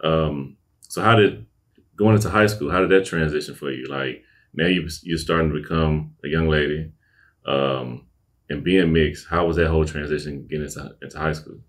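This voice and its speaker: A young man hosting a podcast interview, relaxed and casual, with lots of short affirmations. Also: a bass voice, normal speed. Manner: laid-back, frequent affirmations like "okay, okay" and "I got you". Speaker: podcast host.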